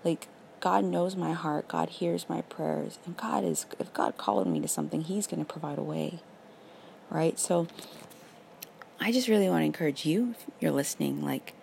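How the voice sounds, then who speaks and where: Raspy young woman sharing faith encouragement. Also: low voice, expressive, moderate pace, long pauses, darkish tone, a little hiss or hum. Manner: earnest, encouraging, many "like"s and "literally"s. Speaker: podcast host. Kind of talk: casual faith podcast monologue.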